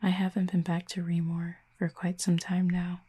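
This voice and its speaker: A woman playing a gentle, wistful cupid exiled from her home, talking softly and slowly to the listener, often close to a whisper, in an audio roleplay. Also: lowish voice, completely flat delivery, husky. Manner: soft, hushed and slow, often near a whisper.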